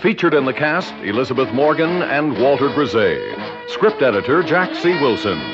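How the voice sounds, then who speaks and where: A man's voice: mid-range voice, expressive, normal speed. An American man reading scripted credits as a 1960s radio announcer. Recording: dull old radio broadcast recording. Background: orchestral music.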